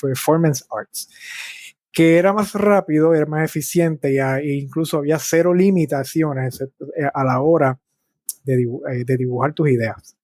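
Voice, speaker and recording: A man's voice: fairly high pitch, normal speed, darkish tone, dry recording. A man from Puerto Rico with a Puerto Rican accent, talking reflectively and conversationally as an interview guest. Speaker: interview guest, speaker and artist.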